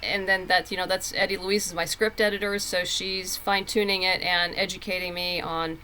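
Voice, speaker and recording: Bright-voiced woman chatting in an interview. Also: on the low side, little pitch movement, moderate pace, slight background noise, poor audio. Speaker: podcast guest, comics writer. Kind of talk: casual interview chat.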